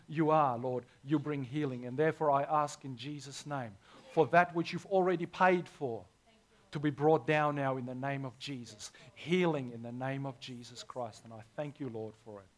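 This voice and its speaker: A man, hoarse this morning, preaching a sermon as pastor with earnest, emphatic delivery. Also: mid-range voice.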